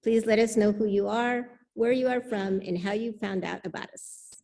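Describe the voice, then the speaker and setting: A woman's voice: medium pitch, fairly even intonation, normal speed, gravelly, a little mellow. A woman reading and making announcements as the worship leader of a church service, speaking into a pulpit microphone. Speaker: worship leader welcoming the congregation.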